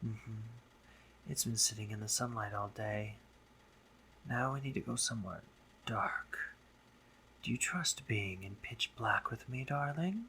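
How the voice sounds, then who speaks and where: Slow, teasing spider monster boy, acted by a man. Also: mid-range voice, pushed and bright, slight background noise. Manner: teasing, deliberate, doting, with breathy murmurs. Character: dominant, teasing, playful spider monster boy in a decrepit castle. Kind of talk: scripted romantic roleplay audio drama. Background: ambient sound effects.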